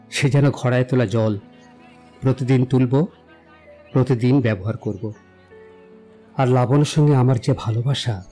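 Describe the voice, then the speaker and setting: An actor playing a self-assured young romantic in an audio drama, speaking in a poetic, declamatory and philosophizing way. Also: male voice, mid-range voice, dark tone, close to an everyday voice. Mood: earnest, explaining. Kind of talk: dramatized audio story acting. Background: background music.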